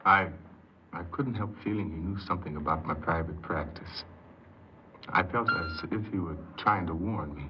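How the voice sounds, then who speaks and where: An actor playing an earnest, insecure paroled ex-convict who tells his story haltingly in a 1950s radio drama. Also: sits low, natural voice. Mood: regretful, hesitant. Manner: hesitant, halting, repeats words. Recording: noisy muffled 1950s radio recording.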